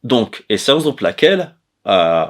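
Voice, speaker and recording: Low-voiced, halting man explaining. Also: sing-song, fairly bright tone. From Comoros. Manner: hesitant, halting, often correcting himself. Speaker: presenter of an educational chronicle on language. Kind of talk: informal explanatory lecture.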